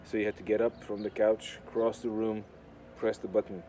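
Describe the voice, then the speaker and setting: A man from Portugal with a Portuguese accent rambles casually as a video host, with a deadpan, sardonic, sweary manner. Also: lowish voice, normal speed. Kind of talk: casual rambling talk to camera. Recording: clear outdoor urban construction noise. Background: noise of building works.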